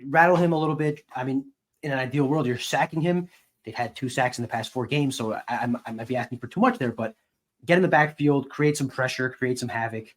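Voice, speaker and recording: A man with a medium-pitched voice talks sports as a podcast co-host. He breaks things down conversationally, as an analyst would among colleagues. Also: brisk.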